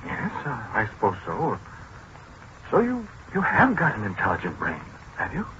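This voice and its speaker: A man's voice: fairly low pitch, wildly swooping pitch, normal speed, sharp tone, heavily acted. An actor playing a washed-up, hesitant, wistful man who stammers, in a 1940s radio drama. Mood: resigned, wistful. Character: washed-up, flustered man confiding in his machine. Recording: muffled, noisy 1940s radio recording.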